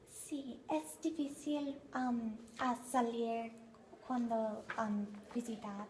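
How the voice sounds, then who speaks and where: A young woman interviewing in halting Spanish, hesitant with many ums. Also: fairly high pitch, steady tone, a little mellow, a little hiss or hum, poor audio. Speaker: interviewer, student.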